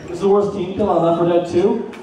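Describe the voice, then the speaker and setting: A man's voice: high-pitched, level tone, quick pace, dark tone. A man on a convention panel trading playful, mock-antagonistic comic banter with the audience. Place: large hotel convention panel room. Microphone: distant handheld audience camera. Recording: echoey, noisy crowded hall from far off.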